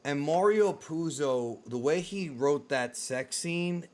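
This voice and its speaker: A man's voice: fairly high pitch, lively intonation, slow pace, darkish tone. A man hosting a casual discussion of films and games, talking in a blunt, informal way that runs to swearing. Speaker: video show host. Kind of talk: casual film and game discussion.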